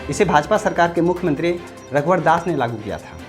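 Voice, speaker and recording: Low-pitched woman narrating over music. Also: animated, a little fast. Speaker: news narrator. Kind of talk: scripted explainer narration. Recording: music behind the voice. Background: background music.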